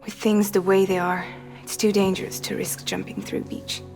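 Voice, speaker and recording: A woman playing an exhausted, wry transporter in a video game cutscene, frank and sometimes breathy. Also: mid-range voice, flat delivery, moderate pace, darkish tone, faint music behind, natural voice. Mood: weary, cautioning. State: weary.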